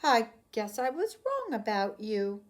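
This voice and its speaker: A woman voicing a kind, sprightly old woman in a children's storybook, sweet and gently reassuring. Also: a little high, very animated, measured pace. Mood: gentle. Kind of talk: children's storybook read-aloud.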